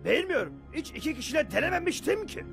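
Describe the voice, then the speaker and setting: A man voicing a pompous, nerdy inventor dog in an animated comedy, lecturing like an expert. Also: extremely high pitch, quick pace, vocal fry, heavily acted. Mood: uneasy. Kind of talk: animated comedy dub acting. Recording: background music under the dialogue.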